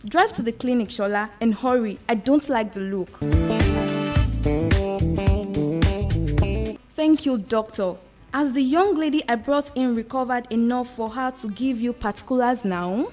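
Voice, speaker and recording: A woman's voice: on the high side, normal speed, loud music behind the voice, close to an everyday voice. A woman playing a commanding but compassionate madam in a radio drama, speaking emphatically with a Nigerian accent. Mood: concerned. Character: commanding, compassionate well-off madam, quick to take charge.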